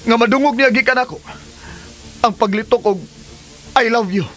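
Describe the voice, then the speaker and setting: A man's voice: very high voice, normal speed, a bit bright. A man with a Cebuano accent playing an ardent, defensive lover and expectant father in a radio drama. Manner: emphatic, earnest. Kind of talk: Filipino radio drama acting. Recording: music under the dialogue.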